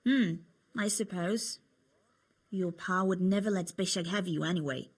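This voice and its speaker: A woman's voice: on the low side, measured pace, slightly rough. A young woman playing a cheerful, teasing medieval tavern girl in a video game, chatty and coaxing. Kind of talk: video game cutscene acting.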